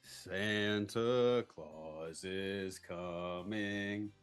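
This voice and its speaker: A man playing a sheepish middle-aged father, halting and reluctant, in a live comedy table read. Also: sits low, fairly even intonation, clear, resonant tone, soft background music.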